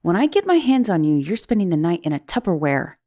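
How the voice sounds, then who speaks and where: Woman acting a gloating giantess with a lowish voice. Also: very animated, fast, warm tone. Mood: gloating, threatening. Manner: mocking, menacing, playful, theatrical. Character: gloating, teasing vengeful young giantess taunting shrunken captive. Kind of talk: ASMR roleplay monologue.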